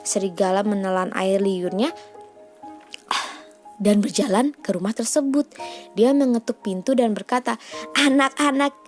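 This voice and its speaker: A woman's voice: medium pitch, steady tone, moderate pace, long pauses, dark tone. A woman reading a children's storybook aloud as a bedtime storyteller. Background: faint music.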